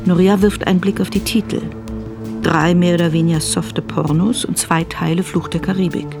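A woman's voice: fairly low pitch, fairly even intonation, moderate pace, creaky. A woman narrating a German radio drama in a sober, precise scripted style. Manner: sober, precise present-tense telling. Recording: music behind the voice.

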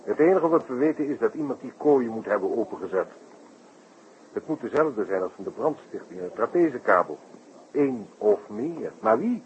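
A man's voice: medium pitch, expressive, moderate pace, natural voice. An actor playing a jaunty, cheeky male sidekick in a 1960s radio drama, with breezy, mock-formal banter. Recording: slightly noisy, dull 1960s radio recording.

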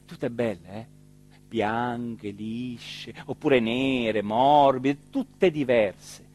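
An actor playing an excitable small-town mayor in a theatrical radio monologue, with an emphatic delivery that swings from hushed to shouted. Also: male voice, medium pitch, extremely slow, faint music behind. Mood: puzzled, animated. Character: excitable small-town Italian mayor recounting his dream and a night emergency.

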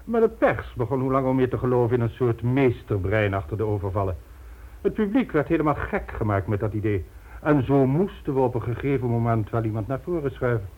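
Radio drama villain: suave, ruthless, condescending businessman of crime, animated delivery, muffled lo-fi recording. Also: medium pitch, fairly quick. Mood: smug, businesslike. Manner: smug, polished, coolly condescending. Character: suave, ruthless male criminal mastermind running a business. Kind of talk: Dutch radio play acting. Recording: dull lo-fi old radio recording.

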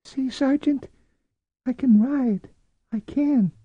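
Audiobook role: keen, defiant little lad, pitched well up, thin tone, dull recording. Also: male voice, slow, mellow, slightly rough, dry recording, exaggerated voice. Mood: pained, stubborn. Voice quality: thin, high. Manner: earnest, eager. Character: eager, stubborn, excitable small boy, proudly defiant. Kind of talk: audiobook character voice.

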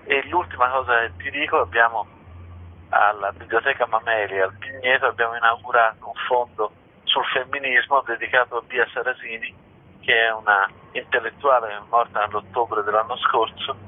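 A man's voice: mid-range voice, steady tone, moderate pace. Man on a muffled, tinny phone line. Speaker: library institution representative, interview guest. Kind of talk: radio interview, informative presentation. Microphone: telephone.

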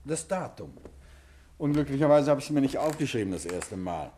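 An actor playing a composed gentleman detective in a 1950s radio play, speaking in a calm, measured and quietly ironic way. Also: mid-range voice, slight background noise, lightly acted.

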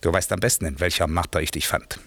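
A commanding magician, dry studio sound. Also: male voice, low voice, fairly even intonation, fairly bright tone, slightly rough, no room sound, full character voice. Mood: angry, accusing. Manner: measured, declaimed classical verse, authoritative. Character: commanding magician master, stern, imperious, sometimes benevolent. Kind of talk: German classical radio play acting.

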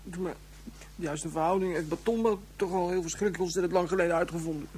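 An actress playing a sulky, indignant, literal-minded child in a radio drama, with tumbling words. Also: female voice, on the low side, fairly even intonation, quick pace, warm tone, close to an everyday voice. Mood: muttering. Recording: noisy track with hum.

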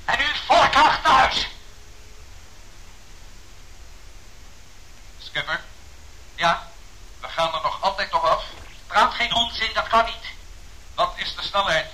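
Tense airman, bright forceful voice. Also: high voice, lively intonation, a little slow, pauses often, heavily acted, low-quality recording. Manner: urgent, alarmed exclamations. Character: desperate male aircrew member in a doomed plane. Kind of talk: radio drama acting.

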